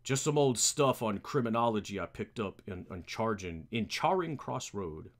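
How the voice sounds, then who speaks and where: A man's voice: on the low side, lively intonation, moderate pace, pitched below the performer's own voice, lightly acted. A man voicing a brooding young rich comic-book hero in a terse, serious manner. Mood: brooding, resolute.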